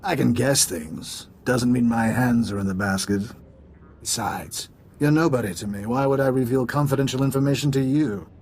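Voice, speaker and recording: An actor playing a wealthy, reclusive, jaded ex-businessman in a video game, unhurried and dismissive. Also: mid-range voice, a little mellow, slight background noise, lightly acted.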